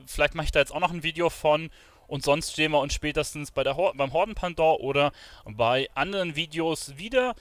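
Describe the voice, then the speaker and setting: A man's voice: fairly high pitch, a little fast, fairly bright tone, no room sound. A man giving casual let's play commentary as a gaming streamer.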